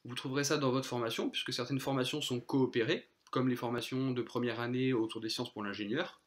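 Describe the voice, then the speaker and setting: A man's voice: mid-range voice, steady tone, fairly quick. A man presenting a school's studies to prospective students, explanatory with occasional fillers.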